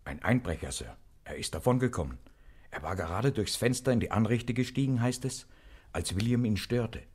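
Husky, deferential manservant. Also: male voice, lowish voice, animated, normal speed, darkish tone. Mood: formal. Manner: deferential, formal, restrained. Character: formal, discreet male servant bringing grave news. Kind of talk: German radio play acting.

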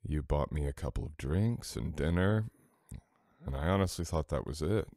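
Very deep, gravelly sweet boyfriend played by a man. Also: lively intonation, normal speed, dark tone, no echo, clean studio sound, exaggerated voice. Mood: affectionate teasing. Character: sweet, teasing, affectionate young boyfriend. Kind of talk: intimate ASMR boyfriend roleplay.

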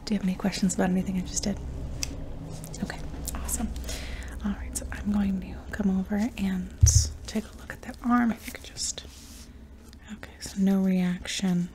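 A young adult woman in a whispered ASMR medical roleplay, breathy and soft, giving reassuring step-by-step instructions up close. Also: medium pitch, fairly even intonation, moderate pace, background noise. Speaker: ASMR roleplayer playing an anesthesiologist.

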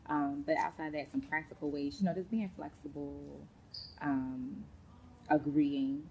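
A woman, a school counselor chatting as an interview guest, warm, with many ums. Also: deep voice, steady tone, a little slow, slight background noise, rough recording. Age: late 30s. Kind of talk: casual interview talk. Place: large indoor sports facility studio.

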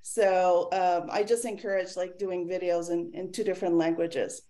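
A woman in her forties whose first language is French, sharing a friendly, animated comment on a video call. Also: lowish voice, moderate pace.

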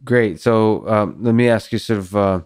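A man in his thirties from Russia, hosting a podcast interview and asking slow, measured questions into a boom-arm studio mic in a small room. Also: darkish tone, clean tone, no echo. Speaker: podcast host.

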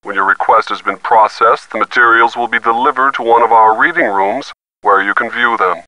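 An actor playing a formal, fussy, reluctant library archivist in a video game, speaking precisely and officiously. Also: male voice, mid-range voice, fairly quick, sharp tone, no echo, lightly acted, rough recording.

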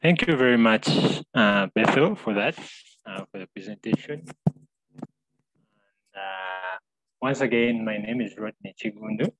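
A young man from Uganda co-hosting an online session, introducing the next presenter on a laptop call from a small room at home, pausing often with fillers. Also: lowish voice, fairly bright tone, rough recording. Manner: hesitant, many filler pauses. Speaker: co-host. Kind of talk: introducing speakers on a video call. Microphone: laptop webcam on video call.

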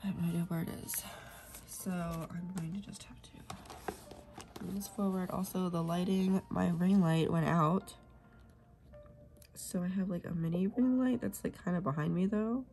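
A woman's voice: on the low side, fairly even intonation, normal speed, faint music behind. A young woman chatting casually while filling in her planner, sniffly from a cold and rambling, sometimes dropping to a whisper. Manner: rambling, soft, drifts into whispers while working. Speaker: planner vlogger. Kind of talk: casual plan-with-me chat.

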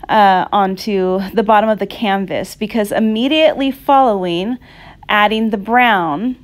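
Slow-paced woman teaching a craft. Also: medium pitch. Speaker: art instructor. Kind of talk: casual painting tutorial with personal asides. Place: indoors at a craft table.

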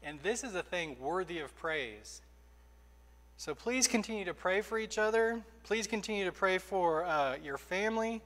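Earnest high-voiced preacher in a reverberant hall. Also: male voice, expressive, fairly bright tone. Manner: earnest, emphatic preaching with slowed stressed phrases. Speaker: pastor. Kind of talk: sermon. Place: church sanctuary, indoors.

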